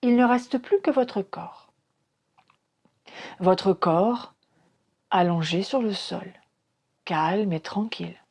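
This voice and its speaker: A woman leads a guided meditation in a dark, relaxed tone. Her voice has a breathy, husky edge and moves very slowly. She gives calm, soothing instructions in short phrases, leaving pauses between them. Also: mid-range voice. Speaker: yoga nidra teacher.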